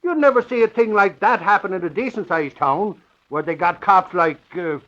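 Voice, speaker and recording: An actor playing an excitable, blustering former cop in 1940s radio style, on a dull, noisy old recording. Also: male voice, sits high, normal speed. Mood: indignant, emphatic.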